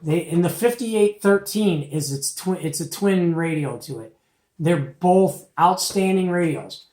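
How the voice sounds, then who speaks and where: A man's voice: sits high, lively intonation, a little slow, a little mellow. A middle-aged man giving a casual, folksy and opinionated product show-and-tell as the host. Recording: echoey, roomy home recording.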